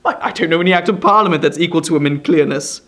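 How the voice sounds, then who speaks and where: A man voicing a fawning, obsequious lawyer who gushes and stammers flattery. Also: a little high, fast talker. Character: fawning obsequious male lawyer, middle-aged.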